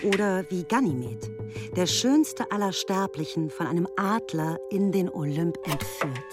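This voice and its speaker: Slow, low-pitched female narrator. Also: expressive. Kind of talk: scripted alphabet-entry reading. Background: music.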